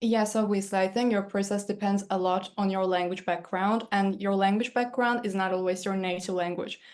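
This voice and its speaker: A woman whose first language is Turkish, chatting casually as a guest about learning languages, easygoing and quick to laugh. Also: mid-range voice, fairly even intonation, a little mellow. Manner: easygoing, laughs often, filler "kinda". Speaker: polyglot guest. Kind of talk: casual conversation.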